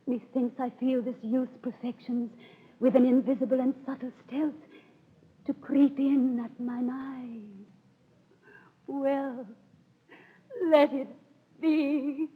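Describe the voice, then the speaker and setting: A theatrical lovelorn young noble in a 1930s radio play of Shakespeare. The delivery is grand and declamatory, on a dull, old recording. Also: female voice, high-pitched, steady tone, takes it slowly, pauses often, husky, heavily acted. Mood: smitten, agitated.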